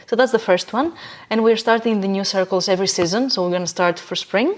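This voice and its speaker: A woman's voice: mid-range voice, quick pace. Woman, emphatic motivational podcast guest. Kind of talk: conversational interview, motivational.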